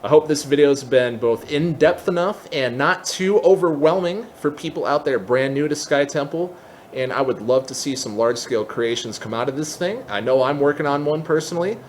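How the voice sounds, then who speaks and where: A man presenting a software tutorial, explaining step by step in a semi-casual way with casual asides. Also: on the high side, fairly quick, slight background noise.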